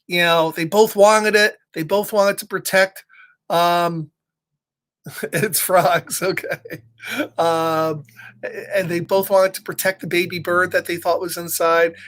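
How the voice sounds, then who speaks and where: A man's voice: sits high, steady tone, measured pace, a bit bright. A middle-aged man hosts a storytime for children. He moves between reading aloud and casual chat, informal and prone to chuckling.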